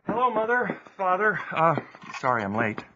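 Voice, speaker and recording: A man's voice: high-pitched, very animated, normal speed, highs cut off, very noisy. A man playing a dreamy, earnest, polite schoolboy who longs to sail, in an audio drama. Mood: earnest, eager. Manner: earnest, youthful. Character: dreamy, eager, polite American schoolboy, would-be sailor.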